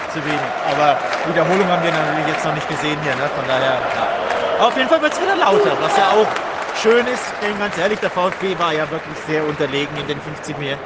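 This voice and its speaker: A man working as a football journalist, commenting casually on a match from the press stand. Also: on the high side. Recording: noisy stadium with loud crowd. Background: crowd noise.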